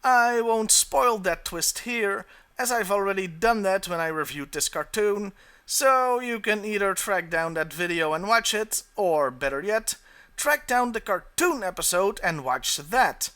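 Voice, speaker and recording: A man's voice: high-pitched, lively intonation, a little slow, pushed and bright, smooth. A man narrating a scripted countdown review as the host.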